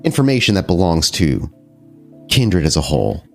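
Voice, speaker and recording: A man voicing a stoic, scornful father figure who speaks in a low, dry, contemptuous tone. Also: wildly swooping pitch, normal speed, gravelly, a little mellow, faint music behind, exaggerated voice.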